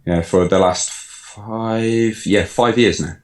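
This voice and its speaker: A man with a Birmingham accent talks in a low, easygoing voice, full of ums and little laughs. He speaks into a desk microphone with a pop filter on a remote call. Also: takes it slowly. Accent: Birmingham English. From England. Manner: relaxed, rambling, many ums, laughs easily. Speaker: guest, online fitness coach. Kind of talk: casual interview chat. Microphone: USB desk mic with pop filter.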